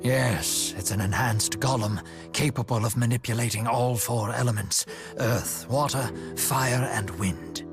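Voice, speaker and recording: An actor playing a courteous older gentleman strategist in a video game, speaking in a formal, measured, polite way. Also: medium pitch, natural voice. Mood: composed, formal. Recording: loud music behind the voice. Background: loud background music.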